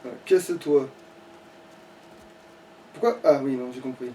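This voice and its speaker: A man's voice: mid-range voice, a little slow, warm tone. A man playing a video game and commenting casually, muttering, swearing and thinking aloud. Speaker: player in a let's play. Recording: distant mic, faint background noise.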